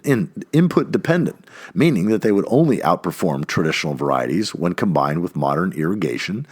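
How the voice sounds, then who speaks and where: Male narrator for nonfiction essay reading: low-pitched, highly animated intonation, emphatic phrasing. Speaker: audiobook-style reader of an essay.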